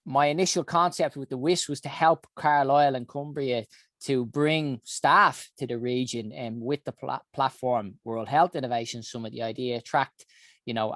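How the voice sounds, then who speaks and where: A man's voice: mid-range voice, a bit bright, no echo. Dublin man, dry close voice. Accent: Dublin Irish. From Ireland. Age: 40s. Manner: earnest, with frequent ums and uhs. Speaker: health summit founder. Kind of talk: recorded personal talk.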